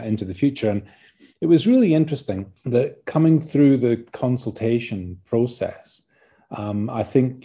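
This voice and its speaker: A man's voice: medium pitch, mellow. A middle-aged man with a Scottish accent, a university leader answering podcast interview questions, hesitant with many ums and restarts. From Scotland. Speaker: university vice-chancellor, guest.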